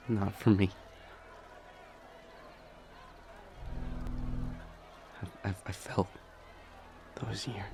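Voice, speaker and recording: A man playing a shaken, devoted demon lover in an audio drama, halting and breathy as he speaks. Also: on the low side, background noise. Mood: shaken, vulnerable. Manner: halting, stammering, breathy urgent delivery. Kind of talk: intense dramatic audio roleplay acting.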